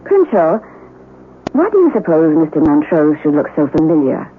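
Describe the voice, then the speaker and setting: A woman's voice: deep voice, huge pitch swings, normal speed. An actress playing a gracious, curious widowed lady of the house in a 1970s radio drama, speaking in a refined, formal way. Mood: curious, commanding. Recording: tinny vintage radio recording, background noise.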